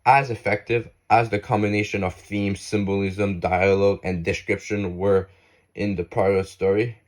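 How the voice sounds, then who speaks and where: A man reading an essay aloud, halting now and then to restart words. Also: fairly low pitch, flat delivery.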